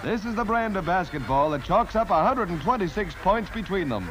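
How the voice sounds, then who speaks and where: A man's voice: sits high, lively intonation, brisk, over loud music, poor audio. An American man narrating a 1940s newsreel in a punchy, theatrical announcer style. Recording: vintage 1940s newsreel soundtrack.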